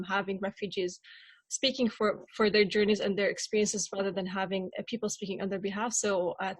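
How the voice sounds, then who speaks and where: A woman's voice: medium pitch, no room sound. Young adult woman from Syria, panel speaker, hesitant personal storytelling, mid-range voice, very little room sound. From Syria, grew up in Aleppo. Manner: hesitant, repeats words as they go.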